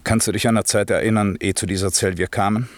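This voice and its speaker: A man plays a grave, commanding magician and father in a classic radio drama. He declaims solemnly in a deep voice, recorded close and very dry. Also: steady tone, quick pace, no room sound, natural voice. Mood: grave, bitter. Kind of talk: classic German radio play acting.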